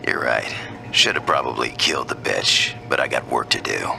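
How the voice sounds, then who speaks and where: An actor playing a grim, cynical killer in a video game, terse and deadpan, with a gravelly voice. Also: male voice, a bass voice, normal speed, exaggerated voice. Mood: grim, curt. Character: grim, hard-bitten male killer, curt and cynical. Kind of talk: video game cutscene acting. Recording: music behind the voice.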